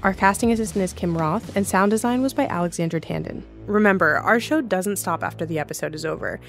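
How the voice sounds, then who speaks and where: A woman's voice: on the low side, fast. A woman announcer reading scripted end credits for an audio drama. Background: faint music.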